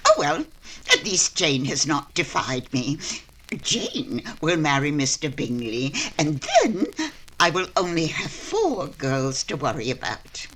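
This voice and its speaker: Woman acting middle-aged mother, low voice, very animated, theatrical, self-pitying, wheedling, 1940s radio comedy style. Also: slow pace, exaggerated voice, rough recording. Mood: resigned.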